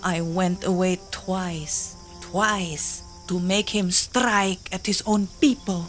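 An actress playing a proud, desperate young native woman in a full-cast audio drama, speaking in slow, poetic, impassioned pleas. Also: fairly low pitch, fairly even intonation, faint music behind. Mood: bitter.

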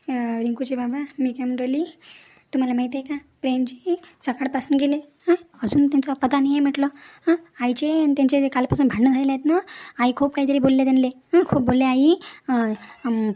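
A woman acting a soft-hearted, fretful village woman from a family cartoon. Her high voice runs quickly with little rise and fall. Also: lightly acted. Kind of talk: cartoon family drama dialogue.

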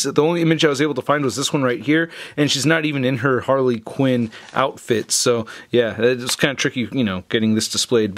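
A man with a medium-pitched voice talks through a casual, hands-on product review. His delivery is informal and chatty, like a hobby reviewer showing items on a tabletop. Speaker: toy reviewer.

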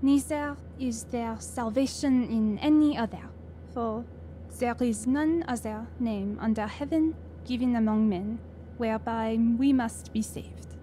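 A woman's voice: on the high side, unhurried, dark tone, a little hiss or hum, lightly acted. An actress playing a thoughtful French wife and mother, sincere and inquisitive, in an audio drama. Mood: curious, sincere. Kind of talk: radio drama acting.

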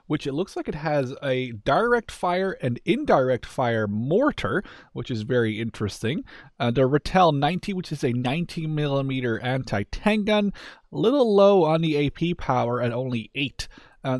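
A man with an average-pitched voice talks through a video game in a relaxed, unscripted style. He is candid and conversational, often thinking aloud. The sound is dry, with no room echo. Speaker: gaming video creator. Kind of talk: casual off-the-cuff game commentary. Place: indoors, voice-over on game footage.

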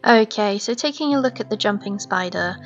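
A hoarse young woman, hosting her own channel and calmly explaining how to care for a pet. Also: mid-range voice, brisk, highs cut off, soft background music. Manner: steady explanatory delivery. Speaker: pet-keeping channel host. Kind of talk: informative pet-care narration. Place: home room with reptile tanks.

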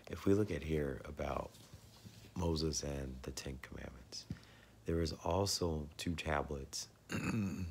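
Male vlogger, 30s, hoarse and losing his voice, very deep, husky half-whispers, phone at arm's length. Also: darkish tone, slight background noise. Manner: often drops to a husky whisper, clears his throat. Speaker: vlogger sharing religious theories. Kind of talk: casual home video monologue. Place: living room at home, indoors. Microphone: phone camera at arm's length.

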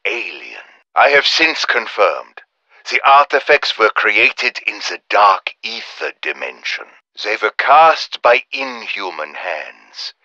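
An earnest scholar of the occult for a video game. His voice swings widely in pitch and has a forceful, bright edge. He speaks in formal, precise phrases, recorded close and dry. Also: no echo, dull audio, exaggerated voice. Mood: scholarly, ominous. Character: earnest, erudite German professor of demonology, embattled ally. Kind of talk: dramatic game voice acting, radio briefing monologue.

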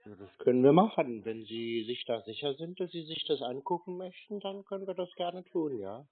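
A husky-voiced man on a prank phone call, deadpan and playing naive, with stilted, pompous wording. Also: on the high side, normal speed. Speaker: prank caller baiting phone scammers. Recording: muffled telephone-like sound.

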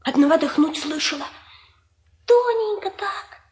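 High-pitched, pleading little peasant girl. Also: animated, measured pace, a little mellow. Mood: anxious. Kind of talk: Soviet radio drama acting.

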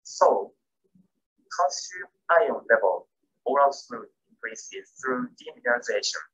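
A man whose first language is Japanese, reading a scripted academic lecture as keynote speaker in a careful, measured way. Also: medium pitch, very animated, fairly bright tone, husky, dull audio. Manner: careful, measured reading with non-native grammar.

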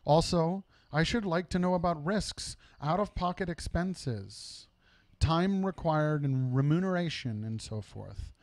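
A man's voice: on the high side, a little slow, no echo. A man voicing a small, timid male hobbit in a storybook who puts on a prim, fussy businesslike manner.

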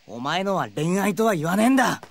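An actor playing a gruff, overprotective older brother in an anime audio drama, rough and blunt in manner. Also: male voice, sits high, sing-song, brisk, no room sound, exaggerated voice. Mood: menacing, exasperated. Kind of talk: anime radio drama acting.

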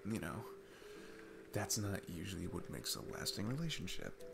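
A man playing a shy, sweet single dad smitten with his babysitter, speaking gently and haltingly in a romantic ASMR roleplay. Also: low voice, big pitch swings, vocal fry, noisy background, full character voice. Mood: earnest, tender.

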